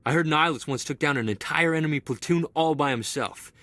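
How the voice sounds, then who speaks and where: An actor playing an eager, restless young male corporal in a video game cutscene, talking in an excitable, boyish way. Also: fairly high pitch, fast, no room sound, lightly acted. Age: young adult.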